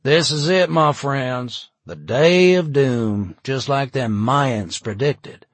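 A man voicing a laid-back, sardonic aging hippie who cheerfully prophesies doom in an audiobook, with smiling, slangy drawn-out talk. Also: medium pitch, slightly rough, no room sound. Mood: loud, proclaiming.

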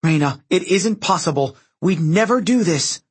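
Man voicing an anxious teenage heroine, high and animated. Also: normal speed, breathy edge. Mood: alarmed, pleading. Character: earnest, anxious teenage heroine, sharp and questioning. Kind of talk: audiobook character dialogue.

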